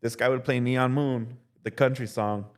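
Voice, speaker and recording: A young male teacher telling casual stories as a podcast guest, relaxed and full of "like" and "dude". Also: mid-range voice, normal speed, clear, resonant tone. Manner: relaxed, heavy use of "like" and "dude". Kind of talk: casual storytelling chat.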